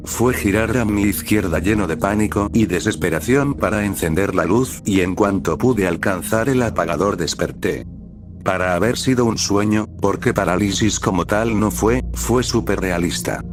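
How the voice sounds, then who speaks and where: Low-voiced man narrating over music. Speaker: narrator of a horror stories channel. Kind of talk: scripted narration of first-person scary stories.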